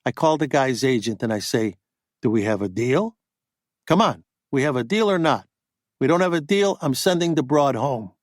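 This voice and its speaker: A man voicing a pushy, profane, fast-talking male Hollywood agent in an audiobook. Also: medium pitch, expressive, dry recording, clean studio sound, natural voice. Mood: brash. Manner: pushy, profane, rapid-fire.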